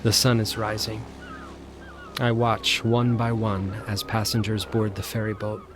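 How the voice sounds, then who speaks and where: An actor playing a haunted, obsessive man who narrates his supernatural terror in an intense, halting audio drama delivery. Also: fairly low pitch, flat delivery, noisy background, close to an everyday voice. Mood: haunted, subdued. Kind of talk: audio drama first-person horror narration. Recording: loud music under the voice. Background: dramatic music.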